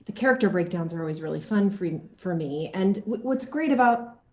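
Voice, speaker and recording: Female interview guest, slightly low voice, casual and animated, echoey room. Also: brisk. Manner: animated, acts out quoted reactions. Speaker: guest, actor interviewed about game casting and motion capture.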